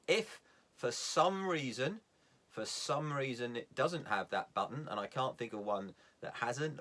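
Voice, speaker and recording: A man's voice: mid-range voice, fairly bright tone. A man giving an informal, hands-on instructional talk as a sailing instructor, plain-spoken and step by step, repeating key points for emphasis.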